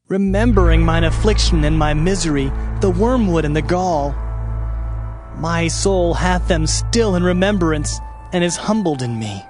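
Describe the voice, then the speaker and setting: A man narrating scripture in a solemn, measured, dramatized reading. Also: high-pitched. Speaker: Bible narrator. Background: background music.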